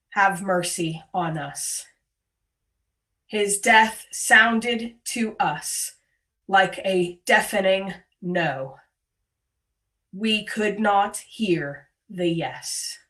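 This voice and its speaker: A woman leading worship, reading prayers and reflections gravely and deliberately in short, weighted phrases over a video call. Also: mid-range voice, a bit bright, recorded from a distance. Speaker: worship leader. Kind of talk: solemn scripted prayer and reflection.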